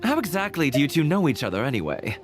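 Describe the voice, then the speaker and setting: An actor playing a talkative, shrewd and neighborly merchant in a video game, speaking in a folksy, earnest way. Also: male voice, fairly high pitch, wildly swooping pitch, fast, soft background music. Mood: puzzled, chatty. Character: chatty, shrewd, neighborly male merchant shopkeeper.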